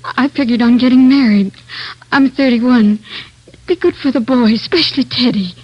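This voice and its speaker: An American actress playing a weary, grieving working woman in her thirties, halting and plaintive, in a 1940s radio drama. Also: on the high side, slightly rough, dry recording. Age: 30s. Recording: muffled old radio recording.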